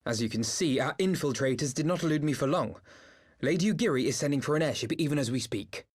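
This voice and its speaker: A woman's voice: sits low, expressive, fast, a little mellow, husky. A woman playing an earnest, principled young noble in a fantasy game, speaking with formal, grave diction. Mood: composed. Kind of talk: dramatic fantasy game voice acting.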